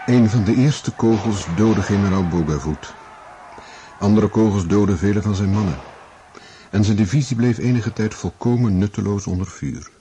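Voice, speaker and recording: A man's voice: fairly low pitch, moderate pace, dark tone, slight background noise. A man reading scripted narration as the narrator of a historical radio drama.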